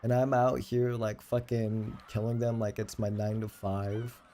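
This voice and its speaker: A man's voice: on the low side, flat delivery, a little slow, warm tone, a little hiss or hum. A man in his late twenties chatting casually on a livestream, rambling and self-deprecating with plenty of swearing. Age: late 20s. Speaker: game livestreamer.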